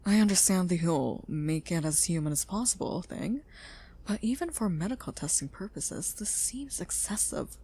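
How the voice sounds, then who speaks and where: A woman's voice: on the low side, animated, normal speed, mellow, slightly rough. A woman playing an earnest, curious scientist in a scripted audio drama. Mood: puzzled, uneasy. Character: earnest, curious female scientist who built a robot. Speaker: voice actor in an audio roleplay.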